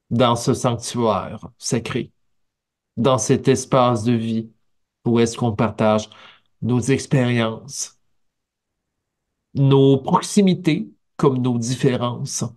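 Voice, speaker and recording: A man with a Québécois French accent delivers a sermon at an unhurried pace, pausing between phrases and lifting his voice for emphasis. His pitch sits in a middle range, and he speaks into a headset mic on a video call from a small home office. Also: fairly even intonation, a little mellow. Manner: slow, measured preaching with pauses and rising emphasis. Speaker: pastor.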